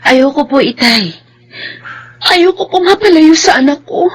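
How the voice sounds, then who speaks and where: A woman's voice: high-pitched, animated, unhurried. An actress playing a dejected but stubbornly loyal young wife and mother in a Filipino radio drama, pleading plaintively. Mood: distressed, reluctant.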